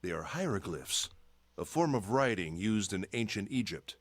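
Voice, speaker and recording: A dry, unflappable older gentleman from a story game, speaking low with a husky edge. His delivery is measured and deadpan, and the pitch swings widely even as he stays composed. The studio sound is very dry and close. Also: no room sound. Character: calm, composed older man, dry and knowing. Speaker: voiced game character.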